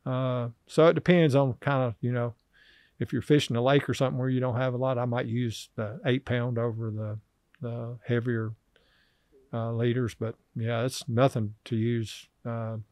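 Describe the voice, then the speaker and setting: Halting middle-aged man. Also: mid-range voice, little pitch movement, darkish tone, no room sound. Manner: halting, many uh fillers and restarts. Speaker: podcast guest, river angler. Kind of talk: casual interview chat. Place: small office studio, indoors. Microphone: desk podcast mic on boom arm.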